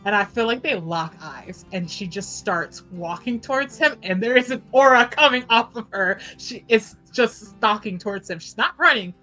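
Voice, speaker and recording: A woman's voice: medium pitch, lively intonation, normal speed, hardly any pauses, pushed and bright, faint music behind, low-quality recording. A woman playing a tabletop game, casual and informal, telling her character's moves with playful drama. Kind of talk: casual improvised game chat and storytelling.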